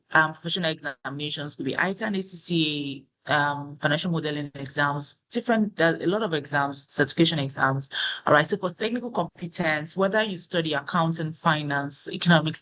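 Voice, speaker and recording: A man with a slightly higher-than-usual voice moderates a career webinar. He talks loosely and conversationally, tagging his points with "you know" and "right?" as he walks the audience through them. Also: bright, forceful tone, low-quality recording. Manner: explains with frequent "you know" and "right?" tags.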